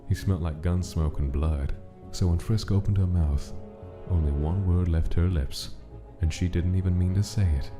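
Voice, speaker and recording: A man's voice: low-pitched, normal speed, few pauses, lightly acted. A man giving dramatic scripted narration for a comic story. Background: music.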